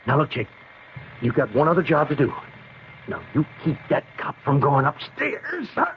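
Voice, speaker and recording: A man's voice: fairly high pitch, huge pitch swings, normal speed. A man playing a menacing, slangy American career criminal with a gun in a 1970s radio drama, stammering when rattled. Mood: bullying, edgy. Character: jittery, menacing male gunman, a street-tough career criminal. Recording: muffled, hissy 1970s radio recording.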